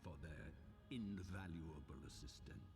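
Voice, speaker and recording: An actor voicing a solemn, zealous archbishop, a scheming villain in a fantasy video game, with grandiose, measured and formal speech. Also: male voice, deep voice, darkish tone, faint music behind. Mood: cold, commanding. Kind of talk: video game cutscene dialogue.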